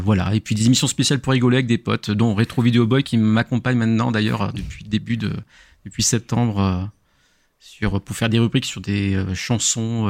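A middle-aged man explaining his podcast casually, halting and often rephrasing as he goes. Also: lowish voice, darkish tone, no echo, clean studio sound. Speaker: podcast host appearing as a guest. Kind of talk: casual talk explaining own show.

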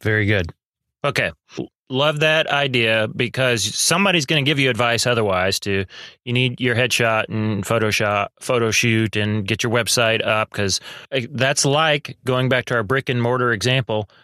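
A man's voice: medium pitch, normal speed, pushed and bright, dry recording. A man hosting a conversational podcast interview in a folksy way, recapping and paraphrasing as he goes. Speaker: podcast host.

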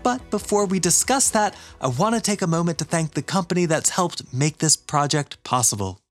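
Man, somewhat high voice, scripted podcast host, faint music behind. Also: lively intonation. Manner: polished, measured delivery. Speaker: podcast host, university teacher. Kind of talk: scripted podcast narration and ad read.

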